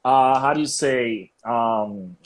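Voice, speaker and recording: A man's voice: medium pitch, slow. A man whose first language is Spanish, hosting a casual interview, laughing often and searching for his words. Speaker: interview host.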